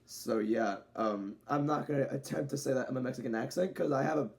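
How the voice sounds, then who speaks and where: A man's voice: medium pitch, brisk, creaky, darkish tone. A young man casually reviewing a wrestling show at home, chatty and rambling, with restarts and the odd swear word. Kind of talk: casual spoken review to camera. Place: home room with dogs nearby.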